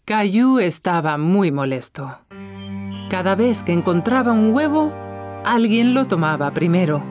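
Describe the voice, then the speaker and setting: A woman's voice: mid-range voice, sing-song, measured pace, music in the background. A woman narrating a scripted children's cartoon story for young listeners.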